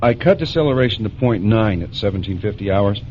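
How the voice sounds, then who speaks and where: An actor playing a grave, compassionate spaceship pilot in a science fiction radio drama, speaking in a measured way that halts when he is upset. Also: lowish voice, animated, darkish tone, slight background noise, close to an everyday voice. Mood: tense, official. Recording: dull lo-fi radio drama, music underneath.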